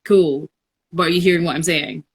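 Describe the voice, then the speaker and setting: A woman in her twenties with a notably low, deep voice, talking through her thoughts on a podcast. She is reflective and unhurried, with plenty of ums and restarts as she works out what she means. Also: fairly even intonation, husky. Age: 20s. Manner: thoughtful, reflective, many fillers. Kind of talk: casual conversation.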